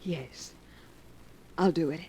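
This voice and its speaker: A woman's voice: deep voice, takes it slowly, warm tone. An actress playing an anxious, easily led young woman in love, with breathless melodramatic 1940s radio acting. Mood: frightened. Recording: noisy old 1940s radio recording.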